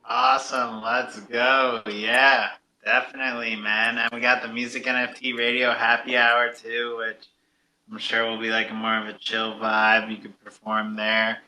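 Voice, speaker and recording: A man's voice: mid-range voice, monotone, unhurried, pushed and bright, a little hiss or hum, rough recording. A man hosting a podcast in casual, upbeat, slangy talk. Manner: laid-back slangy, upbeat. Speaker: podcast and radio host. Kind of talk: casual chat.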